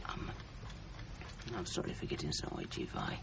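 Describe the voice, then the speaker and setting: An actor playing a weary, self-conscious, easily irritated young husband in a 1970s radio drama. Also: male voice, moderate pace, lightly acted. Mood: weary, tender. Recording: dull, noisy old radio recording.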